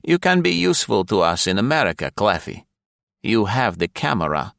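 A man's voice: on the low side, big pitch swings, moderate pace, creaky, a bit bright, no room sound, studio quality, voice pushed up, full character voice. A male narrator playing a cold, arrogant security police major, clipped and menacing. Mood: smug.

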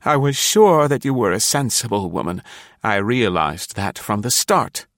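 A man's voice: fairly low pitch, a little slow, no echo, studio quality, pitched above the performer's own voice. Man acting an ardent, imploring spy, voice lifted. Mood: gleeful. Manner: dogged, imploring, melodramatic. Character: ardent, defensive male spy pleading with his lover.

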